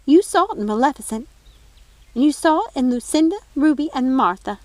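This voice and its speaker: Haughty storybook fairy godmother acted by a female reader: high, swooping, shrill and scolding. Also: expressive, a little fast, darkish tone, no echo. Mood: accusing. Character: haughty, indignant fairy godmother, squealing and accusatory.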